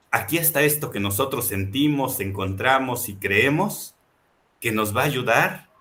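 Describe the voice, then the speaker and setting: A man from Mexico speaks earnestly and with passion, stacking phrase upon phrase as he presents a book. His voice is bright and forward. He seems to be talking over a video call. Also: medium pitch. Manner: earnest, impassioned, rhetorical repetition. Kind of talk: reflective book presentation talk.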